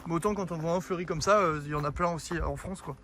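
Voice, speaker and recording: A man's voice: on the high side, fast talker, fairly bright tone, slight background noise. A young man streaming live outdoors, chatting and joking with his viewers, a bit out of breath. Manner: joking, teasing, talks to chat. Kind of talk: casual IRL stream chat. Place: outdoors in a town street.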